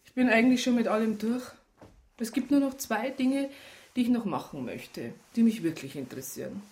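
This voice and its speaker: A woman's voice: fairly high pitch, animated, normal speed, a little mellow, natural voice. An actress playing a worldly, disillusioned woman in a radio drama, frank, dry and teasing in a natural style. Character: worldly, disillusioned, flirtatious Bavarian woman, bluntly independent.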